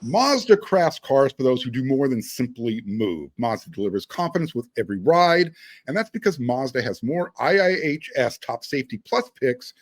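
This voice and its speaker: A male sports podcast host with a lively, wide-ranging intonation. He speaks conversationally and leans on key phrases. The sound is dry, with very little room in it. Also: medium pitch, no echo. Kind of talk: casual sports talk with read-out sponsor spots.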